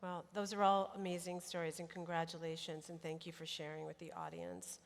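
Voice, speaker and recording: A woman moderating a panel, graciously thanking the speakers and posing measured questions. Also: lowish voice. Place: large hotel conference hall. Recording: reverberant conference hall.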